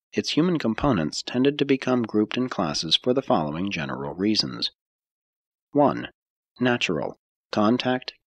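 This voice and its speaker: A man narrating an audiobook from a script in a measured, formal way, with deliberate emphasis. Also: lowish voice, a bit bright, slightly rough, no room sound.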